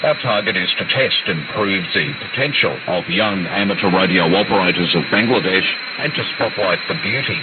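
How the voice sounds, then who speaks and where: A man reading a scripted amateur radio news report as a correspondent, heard over shortwave radio. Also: low voice. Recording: very noisy narrow-band shortwave radio reception. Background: loud shortwave static.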